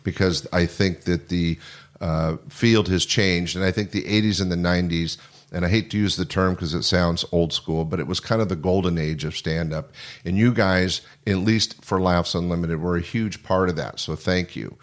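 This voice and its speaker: A man's voice: sits low, fairly even intonation, normal speed. An older man hosting a podcast in a conversational interview style, speaking in an earnest, rambling way. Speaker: podcast host.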